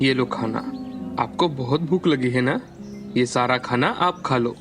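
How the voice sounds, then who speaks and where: A man's voice: medium pitch, unhurried, music in the background, lightly acted. A man voicing a kind, humble and honest street vendor in a moral story, speaking politely and earnestly. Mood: caring. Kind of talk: dramatized Hindi moral storytelling.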